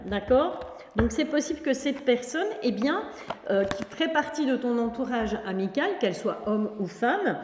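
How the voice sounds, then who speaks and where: Mellow woman in an echoey room. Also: on the high side. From Belgium. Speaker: tarot reader and online host. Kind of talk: informal tarot reading addressed to the viewer.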